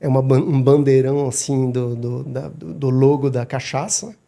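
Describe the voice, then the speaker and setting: A middle-aged man, a guest on a podcast, talking casually and haltingly with many restarts and repeated words. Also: medium pitch, fairly even intonation, creaky, mellow. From Madagascar. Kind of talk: casual interview talk.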